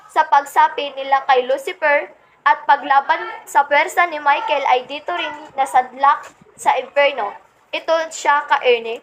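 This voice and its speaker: A young woman playing a polite radio field reporter in a scripted radio play, deferential and measured in her announcing. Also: high-pitched, fairly bright tone, slight background noise, rough recording.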